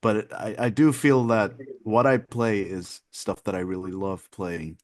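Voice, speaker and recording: A man in his twenties, a guest musician on a video call, talking in a relaxed, rambling way with many fillers and easy laughs. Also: fairly low pitch, unhurried. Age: 20s. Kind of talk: casual interview chat.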